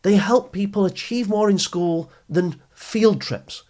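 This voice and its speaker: A man's voice: high voice, slow, darkish tone. A man hosting a podcast, speaking to listeners in an encouraging, instructional way with emphatic phrasing. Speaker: podcast host and education speaker. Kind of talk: scripted podcast narration, addressing listeners directly.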